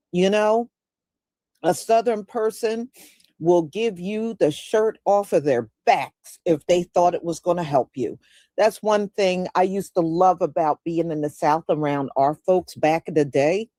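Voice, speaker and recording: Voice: medium pitch, a little mellow, no echo. A show host giving casual commentary and telling folksy stories with conversational asides.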